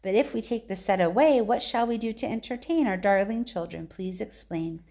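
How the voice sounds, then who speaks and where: A woman's voice: mid-range voice, moderate pace, creaky, mellow, close to an everyday voice. A woman voicing tiny factory workers in a children's storybook, reciting moralising verse with rhythmic, scolding emphasis. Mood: emphatic, scolding.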